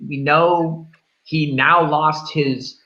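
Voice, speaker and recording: A man's voice: on the high side, fairly even intonation, extremely slow, sharp tone, lo-fi sound. A man in a casual film discussion, rambling and thinking aloud as he reviews. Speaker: host of a film review show.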